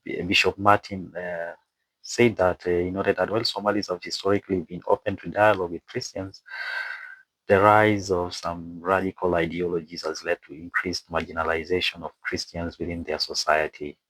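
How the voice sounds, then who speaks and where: A man who is not a native English speaker narrates an explainer from a script with asides, haltingly, stumbling over words and repeating phrases. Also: sits low, little pitch movement, a bit bright, no room sound, poor audio. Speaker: video presenter and narrator.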